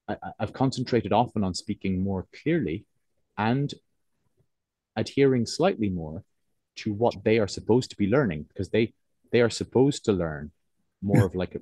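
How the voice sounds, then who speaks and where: A man in his forties from Cork with a soft, neutralised Irish accent close to English, talking reflectively and hesitantly in an interview, often circling back to rephrase. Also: lowish voice, no room sound. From Cork, Ireland. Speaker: interviewed writer.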